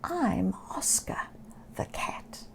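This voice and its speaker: A woman voicing a small, lost, homesick male cat in a storybook, speaking softly and almost in a whisper. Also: medium pitch, slow pace. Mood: sad. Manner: soft, quiet, near whispering.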